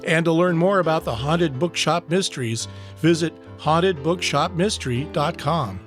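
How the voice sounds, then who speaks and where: A male announcer with a slightly high voice reads scripted podcast credits. Guitar music plays faintly behind him. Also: normal speed. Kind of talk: scripted outro read.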